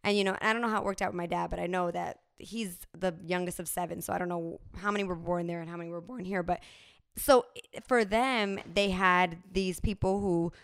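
A woman's voice: on the low side, brisk, clean tone, no echo, studio quality. A woman in her thirties from New Jersey, chatting casually and telling personal stories with lots of fillers. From New Jersey, USA. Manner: animated storytelling with frequent fillers. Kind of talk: casual conversational chat.

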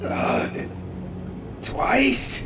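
A man's voice: very slow, music in the background. A man voicing a wounded, anxious male pirate who is held captive and stammers. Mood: nervous.

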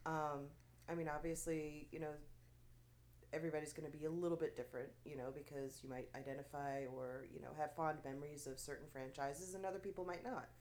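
A woman's voice: low voice, steady tone, fairly quick, darkish tone. A woman co-hosting a casual podcast, sounding stuffy from allergies, chatty with frequent fillers and little laughs. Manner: chatty, many fillers and restarts, laughs often. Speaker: podcast co-host.

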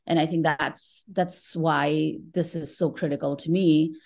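A woman's voice: sits low, fairly even intonation, unhurried, creaky, darkish tone. A middle-aged woman from Nepal speaking reflectively as a guest in a conversational interview, often checking in with short tag questions. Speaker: podcast guest, tech professional.

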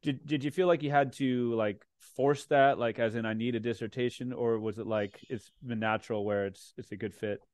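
A man's voice: medium pitch, steady tone, normal speed, few pauses, darkish tone, no room sound. A man hosting a podcast interview, asking long, loose questions full of likes and you-knows. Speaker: podcast host. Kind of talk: casual interview conversation.

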